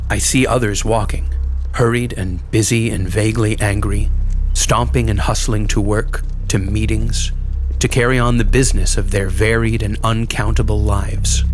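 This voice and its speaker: A man giving a dramatic first-person horror narration, intense and deliberate, in halting short phrases. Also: fairly low pitch. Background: music.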